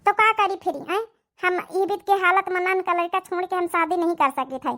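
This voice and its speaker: A blunt young village woman from a cartoon family drama , acted by a woman. Her voice is extremely high and bright with a forceful edge. She speaks in an Awadhi accent and presses her point insistently. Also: normal speed. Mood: resigned, defensive. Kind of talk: cartoon comedy-drama dialogue in village dialect.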